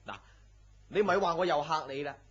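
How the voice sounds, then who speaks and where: A man's voice: a little high, brisk, slightly rough. A man with a Hong Kong Cantonese accent playing a blunt, teasing friend who fusses and scolds in a radio drama. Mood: teasing concern. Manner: exasperated, scolding, animated sighing delivery. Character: blunt, teasing, fussing male friend and co-worker. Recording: dull lo-fi radio drama audio.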